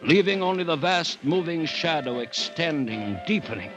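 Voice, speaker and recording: An actor playing a cautious, alarmed government commissioner, narrating a jungle ordeal in formal, suspenseful old-time radio drama style. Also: male voice, on the high side, animated, normal speed, close to an everyday voice. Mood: grave dread. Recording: vintage radio recording with background music.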